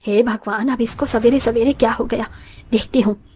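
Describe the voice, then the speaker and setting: An actress plays a middle-aged Indian mother-in-law in a family cartoon. Her voice is somewhat high, and she talks in grumbles and exclamations like a put-upon matriarch. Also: steady tone, moderate pace, darkish tone, husky. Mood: grumbling. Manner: muttering complaints, exclaiming. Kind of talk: moral story cartoon acting.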